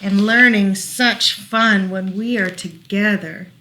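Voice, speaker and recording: A woman reading picture books aloud to small children as a storytime librarian, gently explaining as she goes. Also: medium pitch, fairly even intonation, rough recording. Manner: gentle, unhurried, explaining pictures to little listeners.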